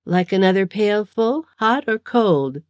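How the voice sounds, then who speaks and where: A woman voicing a coarse, rough-spoken male thug who mocks his captive. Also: mid-range voice, animated, unhurried, darkish tone, no room sound, voice pushed up, natural voice. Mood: mocking. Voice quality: rough, common speech.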